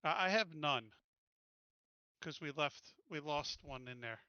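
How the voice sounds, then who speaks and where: A man's voice: on the high side, unhurried, pushed and bright, smooth, no echo, highs cut off. A man talking casually as a tabletop game player, thinking aloud while he plans his moves.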